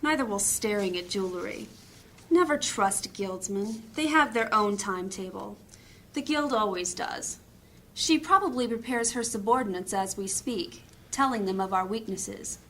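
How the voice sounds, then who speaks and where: A woman's voice: medium pitch, lively intonation, normal speed, a bit bright, slight background noise. A woman playing a cynical, distrustful, sardonic female adventurer in a fantasy audio drama. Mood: bitter, scornful.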